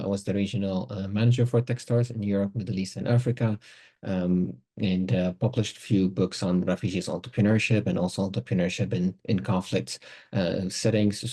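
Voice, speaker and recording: A man's voice: sits low, dark tone, breathy edge. A man from Syria, introducing himself as a panelist. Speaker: panelist, startup accelerator partner.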